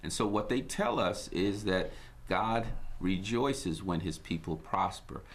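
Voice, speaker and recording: A very deep-voiced man from Guyana hosts his own broadcast. He talks in a measured, sermon-like cadence, weaving in scripture and uplifting sayings. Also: animated. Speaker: host. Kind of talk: inspirational broadcast talk.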